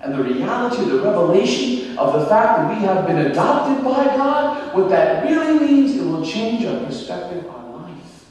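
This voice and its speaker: A man in his thirties preaches from a church pulpit with a fairly high voice, swinging from raised, emphatic questions to low, quiet asides with touches of humor. His voice rings through a large, reverberant sanctuary with a long echo tail. The mic sits some distance off, so he sounds removed. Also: moderate pace, few pauses. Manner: animated, gesturing widely, sweeping pitch swings from high exclamations to low asides. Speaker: priest and mission preacher. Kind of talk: parish mission announcement sermon. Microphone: small headset mic. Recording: echoey church from far off.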